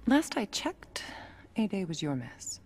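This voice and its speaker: A woman playing a smug, coolly calculating villain scientist in a video game, measured and condescending. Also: medium pitch, big pitch swings, over loud music. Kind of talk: video game cutscene acting.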